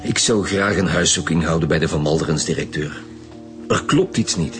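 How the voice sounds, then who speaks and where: Actor playing a low-voiced, sober Flemish detective. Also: male voice, normal speed, over music, natural voice. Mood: suspicious. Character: sober, suspicious male lead homicide detective. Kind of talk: radio crime drama acting.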